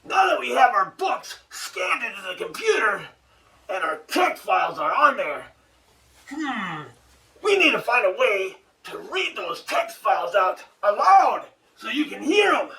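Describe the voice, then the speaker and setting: A man with an extremely high, bright voice presents an upbeat how-to lesson. His delivery swings widely in pitch and leans goofy, with exaggerated exclamations and asides. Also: very animated, measured pace, vocal fry, recorded from a distance, rough recording. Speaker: instructor.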